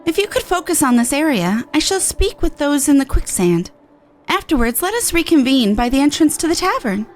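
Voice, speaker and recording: A woman's voice: sits high, sing-song, normal speed, soft background music, pitched above the performer's own voice. A woman voicing an earnest, proper young woman in a fantasy game, formal and polite.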